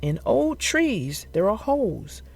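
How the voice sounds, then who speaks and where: Animated storyteller reading to children. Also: mid-range voice, gravelly, husky. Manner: theatrical, deliberate storytelling. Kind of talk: expressive scripted read-aloud for young children.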